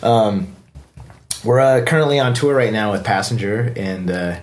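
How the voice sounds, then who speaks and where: A man's voice: fairly low pitch, normal speed. A man with an American accent hosting a podcast in a casual, conversational interview style. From United States. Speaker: podcast host.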